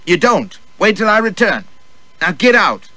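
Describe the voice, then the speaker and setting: Audiobook role: male reader as a bossy, scornful woman, pitched up high, bright and clipped. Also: sing-song, a little slow, no room sound, exaggerated voice. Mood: hissing fury. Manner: curt, clipped, rapped-out orders. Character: imperious, short-tempered young woman, commanding and scornful.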